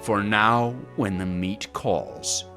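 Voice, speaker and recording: A man's voice: fairly low pitch, steady tone, takes it slowly, over music. A man narrating a gaming video in a casual scripted style, with a playfully dramatic delivery.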